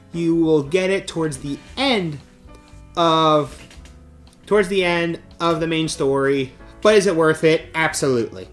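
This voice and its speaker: Man, slightly high voice, informal showcase presenting, emphatic halting phrases, soft music underneath. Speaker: presenter of a game store's monthly news segment. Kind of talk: informal product showcase to camera.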